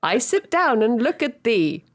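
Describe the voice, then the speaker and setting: Woman podcast host, lively sing-song read, giggly, reading a dream diary to a guest. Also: a little high, measured pace. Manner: giggly, reads with frequent laughter.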